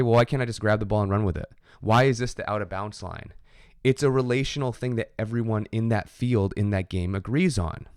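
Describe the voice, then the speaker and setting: A man's voice: lowish voice, darkish tone, clear, resonant tone, no room sound, studio quality. A man teaching a sociology class in a conversational lecture, often checking in with "right?". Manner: conversational, frequent "right?" tag questions. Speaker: sociology instructor. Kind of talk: recorded lecture.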